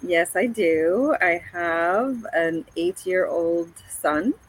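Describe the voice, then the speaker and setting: Guest: woman in her thirties, lowish voice, unhurried, hesitant delivery full of fillers. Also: breathy edge, low-quality recording. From Egypt. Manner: hesitant, many ums and restarts. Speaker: guest, paramotor pilot. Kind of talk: casual video-call interview.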